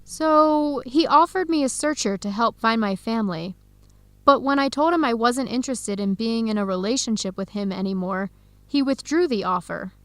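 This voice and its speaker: A young woman playing an earnest researcher searching for her birth family in an educational radio drama, speaking clearly and conversationally. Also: a little high, moderate pace, dry recording, lightly acted. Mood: candid. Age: young adult.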